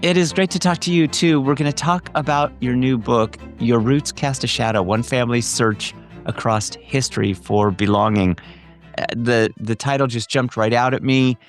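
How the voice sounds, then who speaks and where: Man, podcast host, measured emphatic delivery, faint background music. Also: mid-range voice. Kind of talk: scripted intro then interview chat.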